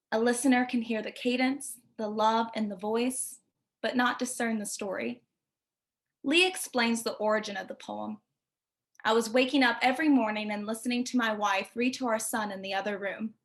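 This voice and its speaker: A woman reading scripted narration as the host of a poetry feature. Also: a little high.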